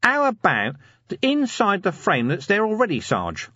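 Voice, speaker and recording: A man's voice: high-pitched, wildly swooping pitch, moderate pace, sharp tone, no echo, muffled recording, pitched above the performer's own voice, exaggerated voice. A man voicing a scheming, cheeky city watchman in an audiobook story, rambling eagerly in colloquial speech.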